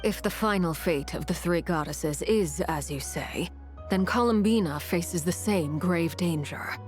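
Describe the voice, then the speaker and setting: A woman's voice: lowish voice, a little slow, darkish tone, faint music behind, natural voice. A woman voicing a determined traveler in a video game, speaking seriously and directly with some urgency.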